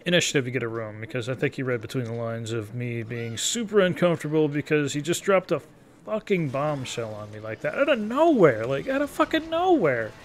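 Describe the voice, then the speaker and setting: A man in his early thirties giving casual, rambling gameplay commentary in an informal, halting, profane, self-deprecating way. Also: mid-range voice, slight background noise. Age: early 30s. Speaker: gaming video creator.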